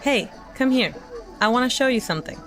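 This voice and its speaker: A woman's voice: fairly high pitch, animated, a little fast, fairly bright tone, faint music behind. A woman playing a worried, exasperated mother in an audio drama, pleading and flustered. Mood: coaxing. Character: worried, exasperated mother of a tantrum-throwing boy.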